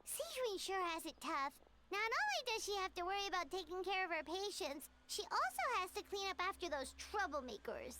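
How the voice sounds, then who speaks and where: A woman's voice: extremely high pitch, big pitch swings, fairly quick, very noisy. A woman voicing a cheerful, childlike little companion sprite in a game fan dub, bubbly and exclamatory. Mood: helpful. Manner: bubbly, exclamatory, refers to self by name. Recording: loud music behind voice.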